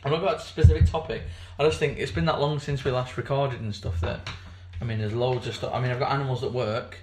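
A man co-hosting a podcast in casual banter, his voice slightly hoarse, with a dry, teasing manner. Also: medium pitch, steady tone, quick pace, roomy sound, a little hiss or hum. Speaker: podcast co-host.

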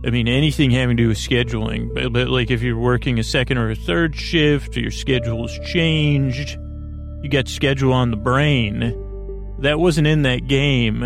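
A man hosting a sleep podcast with a creaky voice, meandering haltingly and often interrupting and repeating himself in a bedtime intro. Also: medium pitch, steady tone, soft background music. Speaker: podcast host.

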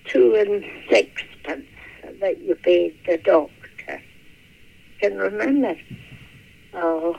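A woman in her eighties recalls the past in a halting, unhurried way. Her voice is slow and gravelly. The recording is muffled and lo-fi. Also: on the low side, warm tone. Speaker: interviewee. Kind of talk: reminiscing.

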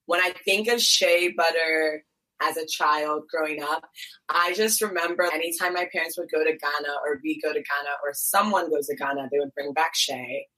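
Young woman guest, low-pitched but bright, animated and chatty; first language Twi. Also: moderate pace, low-quality recording. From United States, Columbus, Ohio. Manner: lively, animated storytelling with laughs. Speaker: guest, beauty brand founder. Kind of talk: casual interview chat.

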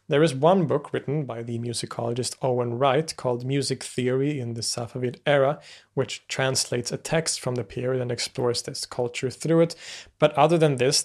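A man narrating an educational video in a measured lecture style, with occasional fillers and self-corrections. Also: mid-range voice, vocal fry.

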